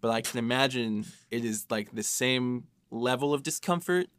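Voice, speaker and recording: A man's voice: mid-range voice, moderate pace, fairly bright tone, smooth, no room sound. A man talking casually as a podcast host and writer, with a self-deprecating, joking manner. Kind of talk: casual panel discussion.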